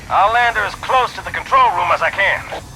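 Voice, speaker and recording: A man's voice: high-pitched, a little fast, background noise, close to an everyday voice. A man playing a dutiful, upbeat military pilot in a video game. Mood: dutiful, warm.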